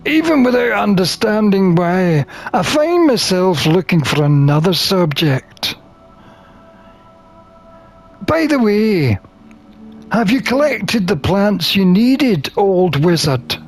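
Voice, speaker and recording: A man reading a visual novel aloud as a gamer, expressive and theatrical, with drawn-out phrasing. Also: high-pitched. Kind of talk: expressive read-aloud of game text.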